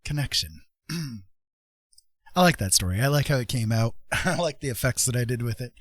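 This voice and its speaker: A man's voice: medium pitch, lively intonation, normal speed, fairly bright tone, no room sound, clean studio sound. A man hosting a livestream, talking in a rambling, self-deprecating, casual way. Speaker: livestream host, author and artist.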